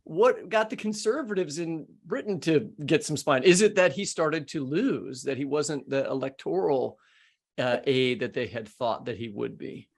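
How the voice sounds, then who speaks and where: An American woman hosting a podcast, asking questions in an informal, halting, conversational way. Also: deep voice, expressive, vocal fry. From United States. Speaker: podcast host. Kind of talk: casual interview chat.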